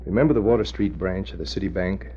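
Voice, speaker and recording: A man's voice: sits low, fast, dark tone, faint music behind, natural voice. An actor playing a smug, scheming gangster boss in an old radio drama, talking in cocky tough-guy slang. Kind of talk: 1940s radio acting. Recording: dull old radio recording.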